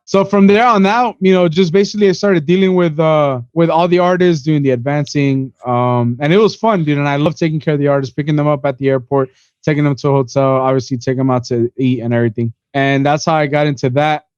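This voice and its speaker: A man's voice: a little high, steady tone, quick pace, a little mellow, clear, resonant tone, dry recording, muffled recording. A man in his twenties from Miami, a podcast guest rambling through his story in casual chat, full of "you know" and "bro". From Miami, USA. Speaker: podcast guest, event organizer.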